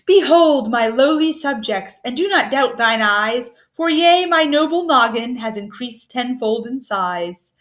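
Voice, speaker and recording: A woman's voice: on the high side, expressive, a little slow, fairly bright tone. A woman voicing a vain, blustering storybook king who exclaims in a grandiose, boastful manner. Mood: grandly boastful.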